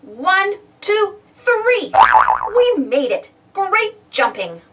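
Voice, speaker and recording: A woman reading a storybook aloud to children in an expressive, exclamatory way, putting questions to her young listeners. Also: very high-pitched, extremely slow. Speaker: storybook reader. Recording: distant from the mic.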